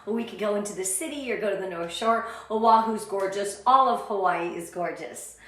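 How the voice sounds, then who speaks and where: A middle-aged American woman speaking with gushing, high energy, greeting viewers on a live online motivational training. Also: moderate pace, a bit bright. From Southern California, USA. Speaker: sales director leading a motivational training. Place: indoor room, live stream with ring light. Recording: very echoey, roomy sound.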